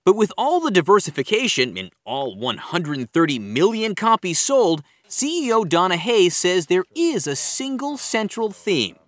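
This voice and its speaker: A man's voice: high voice, sing-song, moderate pace, few pauses, no room sound. A man reading a scripted news feature as a reporter, with wry, playful delivery. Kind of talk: scripted narration.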